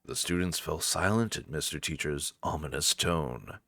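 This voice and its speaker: A man's voice: extremely low pitch, lively intonation, normal speed, vocal fry, a bit bright, faint music behind. A man reading game narration aloud as a let's player, adding casual, joking commentary. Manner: joking, conversational.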